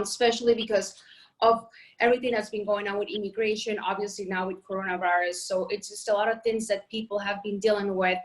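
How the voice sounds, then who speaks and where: A woman's voice: medium pitch, poor audio. A woman reporter from Colombia, raised in Miami, talking in a friendly, chatty way over a phone on a video call. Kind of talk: casual talk.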